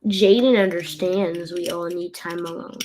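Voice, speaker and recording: A woman co-reading a picture book aloud carefully, halting now and then and repeating phrases. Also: fairly low pitch, fairly even intonation, darkish tone, a little hiss or hum, low-quality recording.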